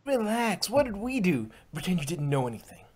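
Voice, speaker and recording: Storybook pony henchman, acted by a man: furtive, hushed, hurried, pitched up and swooping. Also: sing-song, breathy edge, heavily acted. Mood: nervous, furtive. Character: nervous pony henchmen plotting their escape.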